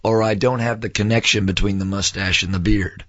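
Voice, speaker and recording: A hoarse man, hosting a podcast from a recording studio in a lively, mock-grandiose, folksy way. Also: lowish voice, brisk, dry recording, muffled recording. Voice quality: raspy. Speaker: podcast host. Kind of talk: casual chat.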